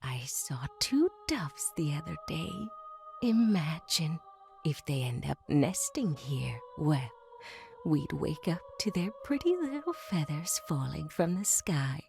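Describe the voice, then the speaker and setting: A serene older head nun in a horror visual novel. Her voice is low and hushed, drawn out slowly and unsettlingly gentle, over prominent music. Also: big pitch swings, gravelly, exaggerated voice. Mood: eerily serene. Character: serene, eerie older mother superior of a convent. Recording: music behind the voice.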